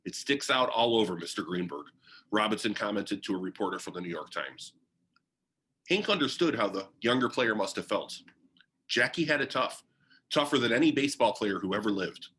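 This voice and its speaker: A man's voice: fairly low pitch, lively intonation, moderate pace, sharp tone. A man reading a biography aloud as narration, in the style of a book for young listeners.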